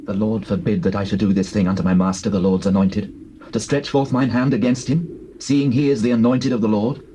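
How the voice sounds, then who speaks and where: An actor playing an earnest, righteous young warrior in dramatized scripture, speaking in declamatory archaic phrases. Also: male voice, fairly low pitch, steady tone, a little fast, warm tone, lightly acted, low-quality recording. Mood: solemn, resolute. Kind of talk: dramatized scripture, classical stage acting. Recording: hissy old cassette tape transfer.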